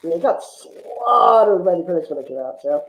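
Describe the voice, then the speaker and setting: A man's voice: sits high, animated, fairly quick, a bit bright, reverberant, poor audio. A man chatting casually about films as a podcast guest, explaining at length with frequent asides. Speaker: guest film reviewer on a podcast. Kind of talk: casual film discussion.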